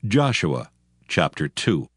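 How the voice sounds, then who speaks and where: A man giving scripted scripture narration in a measured, formal way. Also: low-pitched, very animated, no echo, studio quality.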